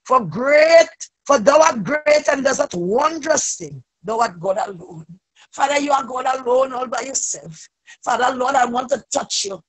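A woman leading a fervent, extemporaneous church prayer, pleading with repeated invocations and a raspy voice that swells into loud cries. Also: high-pitched, expressive, a little slow, poor audio.

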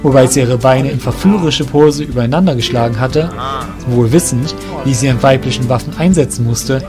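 A man's voice: medium pitch, brisk, over music. A man reading scripted narration for a fantasy audio drama.

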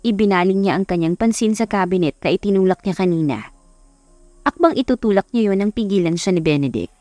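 A woman's voice: medium pitch, animated, a little fast, mellow, no room sound. Woman narrating romance, dry close sound. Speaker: audiobook narrator. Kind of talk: scripted romance story narration. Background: background music.

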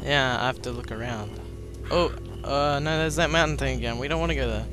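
Young guy, jumpy, kinda lost, yelling just run, game music behind. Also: medium pitch, fairly quick. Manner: hesitant, excitable, urging others to run. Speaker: gamer playing with friends. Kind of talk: casual chat over game.

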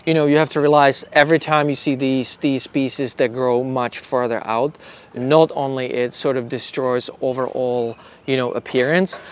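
A man's voice: medium pitch, smooth. A middle-aged man from Czechoslovakia, explaining patiently in an outdoor garden with many fillers and restarts. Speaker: bonsai artist. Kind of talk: casual explanation. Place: outdoor garden under a wooden pergola.

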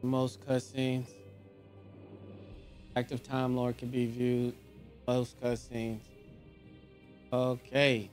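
A man's voice: medium pitch, monotone, extremely slow, pauses often. A man giving casual let's play commentary as a gaming streamer. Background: game music.

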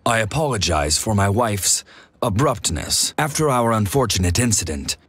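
A man's voice: on the low side, big pitch swings, normal speed, background noise. An actor playing a mild, middle-aged male inventor in a video game, speaking politely with a hesitant manner. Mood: soothing, apologetic. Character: mild, apologetic male inventor and anxious husband.

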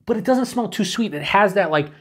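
A middle-aged man reviewing a beer alone to camera in a small room. He talks in a chatty, excited way, swears freely and sometimes drops to breathy whispers. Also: high voice, brisk. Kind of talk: casual solo review to camera.

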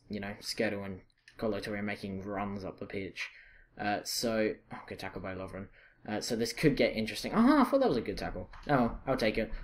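A young Scottish man giving casual, excitable commentary over a football video game, chatty and full of fillers and self-corrections. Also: on the low side. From Scotland. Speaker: gaming channel host.

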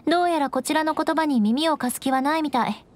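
A woman's voice: sits high, quick pace, slight background noise, natural voice. A woman voicing a proud, formal young noblewoman knight in a game, haughty and easily flustered. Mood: miffed, resolute. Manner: haughty, archaic aristocratic phrasing. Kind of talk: Japanese game voice acting.

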